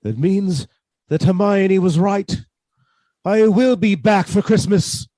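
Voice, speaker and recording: A man's voice: high voice, slow pace, noisy background. A man playing a mannerly, henpecked professor who is secretly a scheming killer, with formal old-fashioned phrasing, in a radio suspense play. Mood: uneasy, mounting dread. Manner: formal, old-fashioned turns of phrase, hesitant when flustered.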